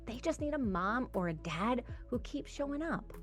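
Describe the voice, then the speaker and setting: A woman's voice: on the high side, expressive, moderate pace, soft background music. A woman hosting as a tutor, giving instructional advice to parents in an encouraging, emphatic way. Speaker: reading tutor and host. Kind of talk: instructional talk to camera for parents.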